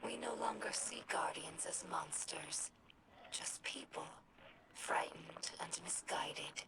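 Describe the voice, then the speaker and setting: A woman playing an earnest young female alien scholar in a video game, with a breathy, whispery voice and measured, formal phrasing. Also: sits low, rough recording. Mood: solemn, thoughtful. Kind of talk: video game character dialogue. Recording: music behind the voice.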